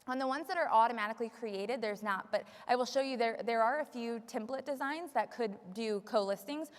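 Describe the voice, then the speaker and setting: A woman leading a live software tutorial as a trainer, explaining step by step to a room. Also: a little high, fairly quick. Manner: explanatory, repeats audience questions for the room. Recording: long echoey room reverb.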